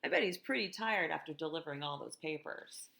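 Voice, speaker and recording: An American woman reading a picture book aloud to children in a gentle, expressive teacher's manner, with conversational asides. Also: low voice, fairly quick, gravelly. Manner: gentle, expressive, asks listeners questions.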